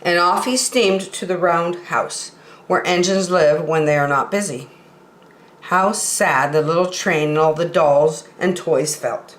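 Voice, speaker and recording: A woman reading aloud expressively as a storyteller for young children. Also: fairly low pitch. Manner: expressive, deliberate enunciation. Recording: roomy echo.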